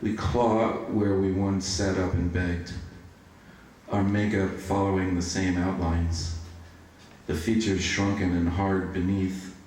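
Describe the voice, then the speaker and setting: Guy reading poetry slow n low, super echoey room, kinda far from the mic. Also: little pitch movement, a little mellow, slight background noise. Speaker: poetry reader. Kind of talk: live poetry reading at a book launch. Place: bookshop event, recorded from a distance.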